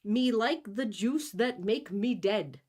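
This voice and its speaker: A woman's voice: a little high, lively intonation, takes it slowly, darkish tone, breathy edge, voice pushed up, full character voice. A woman voicing a dramatic, pushy young lovestruck hero in a comic storybook reading, with slangy, bossy delivery. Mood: impatient, pushy. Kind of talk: comic storybook read-aloud.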